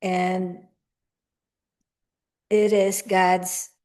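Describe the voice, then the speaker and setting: A hoarse woman with a Filipino accent, a reverend giving a slow, deliberate sermon that moves between English and Tagalog. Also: fairly low pitch, little pitch movement, darkish tone. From Philippines. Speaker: reverend and spiritual leader.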